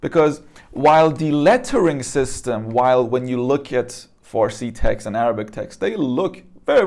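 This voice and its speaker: A man's voice: mid-range voice, darkish tone. A man speaking casually and conversationally as he explains a subject to viewers, often checking in as he goes. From Iran. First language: Persian. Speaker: presenter.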